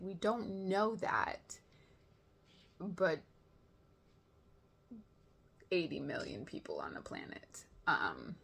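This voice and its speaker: Woman doing casual episode reactions, kinda low voice, playfully dramatic, pokes fun at herself. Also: gravelly. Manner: expressive, self-deprecating, playfully dramatic. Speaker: host of an episode reaction show.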